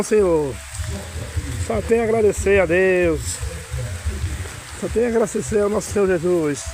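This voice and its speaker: A hoarse man, excitedly exclaiming as he films his farm outdoors in the rain on a phone. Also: high-pitched, a little hiss or hum, poor audio. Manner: excited, exclaiming and singing along to music. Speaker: vlogger filming his farm. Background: music playing.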